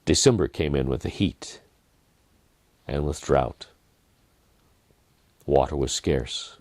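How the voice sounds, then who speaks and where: A man reading a dramatic story in a measured manner, with deliberate pauses and softened phrases. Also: a bass voice, no room sound. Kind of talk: dramatic scripted narration.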